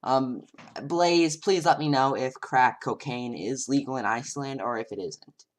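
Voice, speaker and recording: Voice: mid-range voice, measured pace. A commentator making mocking, sarcastic asides over a video clip.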